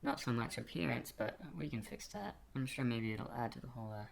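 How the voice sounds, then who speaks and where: A man's voice: medium pitch, brisk, vocal fry, background noise, close to an everyday voice. A man playing a crass, self-absorbed king in a puppet film, talking in a casual, rambling, condescending way. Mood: gushing, condescending. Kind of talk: puppet film character acting.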